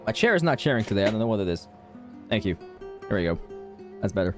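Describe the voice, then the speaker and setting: A man's voice: lowish voice, big pitch swings, fast talker, faint music behind. A man streaming a game playthrough, commenting in an offhand, wry way.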